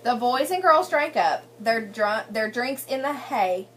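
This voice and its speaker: Teacher, woman. Bright, high-pitched, reads picture book to children and quizzes them gently; slight background noise. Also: expressive, measured pace. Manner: prompting, checks understanding with tag questions. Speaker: teacher reading aloud to children. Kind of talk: picture-book read-aloud with questions to children.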